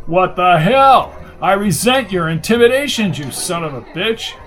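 A combative, easily angered attorney from an audiobook, played by a man. He is curt and indignant, with formal wording and a fairly high voice. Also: sing-song, unhurried, a bit bright, faint music behind, full character voice. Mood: angry. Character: Lincoln, prickly, combative male attorney, quick to anger.

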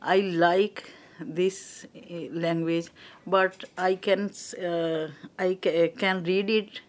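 A woman from Pakistan, a former teacher, giving halting interview answers as she searches for her words. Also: lowish voice, flat delivery.

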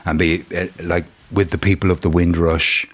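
Deep-voiced man in his fifties. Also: flat delivery. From South London and Dublin. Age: 50s. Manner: halting, searching for words, many restarts. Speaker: interview guest. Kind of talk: reflective interview conversation.

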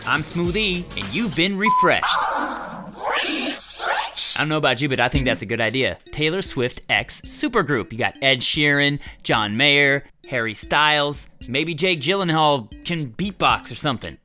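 Comic male host, bright and lively, faint music bed behind. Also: on the high side, normal speed. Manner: wisecracking, animated. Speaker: comedy show host. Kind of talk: comic music news chat.

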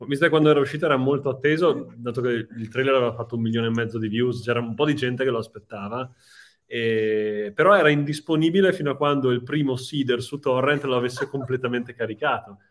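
A man's voice: medium pitch, fast. A man talking casually as a guest film director in a live interview.

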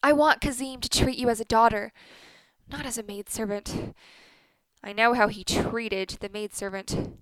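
A woman acting a bitter, commanding older wife and mother in a radio play, speaking deliberately and imperiously with emphasis. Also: a little high, steady tone, dry recording, lightly acted, poor audio.

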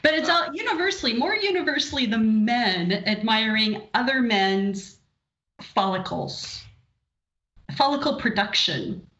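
A woman's voice: on the high side, animated, measured pace, fairly bright tone. A woman co-hosting a podcast, chatting casually with a wry, opinionated, informal manner. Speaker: podcast co-host. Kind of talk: casual chat. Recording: roomy, dull lo-fi sound.

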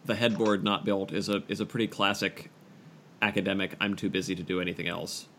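Man, lowish voice, podcast co-host, casual chat, halting delivery, dry and analytical. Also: little pitch movement, fairly bright tone, slight background noise. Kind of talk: casual chat among friends.

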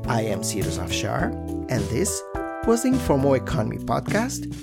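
Male podcast presenter, scripted delivery, lively intonation, creaky edge, prominent plucked guitar underneath. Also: medium pitch, normal speed. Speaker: podcast host. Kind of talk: scripted podcast sign-off narration.

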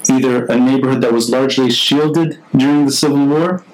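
A man's voice: mid-range voice, fairly even intonation, brisk, darkish tone, a little hiss or hum, rough recording. A man hosting an unscripted live interview on a video call, talking informally and hesitantly, thinking aloud as he goes. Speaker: podcast host.

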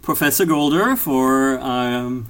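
A man's voice: mid-range voice, takes it slowly, fairly bright tone, clear, resonant tone. A man in his forties with an Austrian accent, a guest economist answering interview questions hesitantly with many fillers. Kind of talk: interview conversation.

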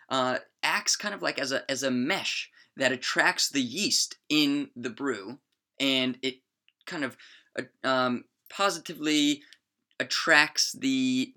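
A man's voice: mid-range voice, pushed and bright. A young man hosting a podcast, explaining facts in a chatty, joking way with lots of fillers and asides. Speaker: podcast host. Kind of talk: casual educational monologue.

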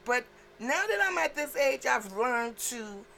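Woman, middle-aged, from Trinidad, comedian, outspoken, laughs a lot, slightly high voice. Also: sing-song, measured pace, vocal fry, bright, forceful tone, slight background noise. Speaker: comedian guest. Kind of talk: casual podcast chat.

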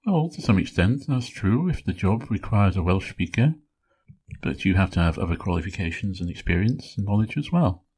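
Man, low voice, husky tone, steady explanatory podcast talk. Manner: measured, explanatory, works through points one by one. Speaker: podcast host. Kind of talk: solo educational podcast talk.

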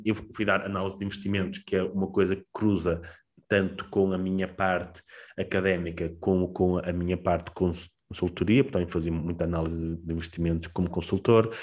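A man with a European Portuguese accent, answering interview questions at length, pausing often with fillers and restarts. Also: low-pitched, a little mellow. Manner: hesitant, many fillers and restarts. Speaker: guest, economist and lecturer. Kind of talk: conversational interview.

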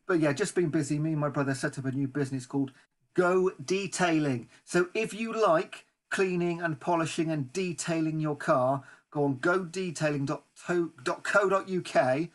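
A man's voice: fairly high pitch, normal speed. A man co-hosting a football podcast, speaking in a casual, conversational way. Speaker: podcast co-host. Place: remote online recording.

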